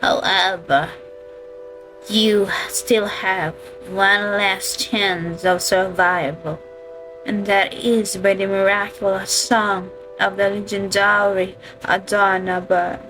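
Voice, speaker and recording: A solemn royal healer, acted by a woman in a radio play, speaking slowly at a middling pitch. The delivery is formal, deferential and earnest, with faint music underneath. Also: little pitch movement, fairly bright tone, low-quality recording. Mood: grave, apologetic.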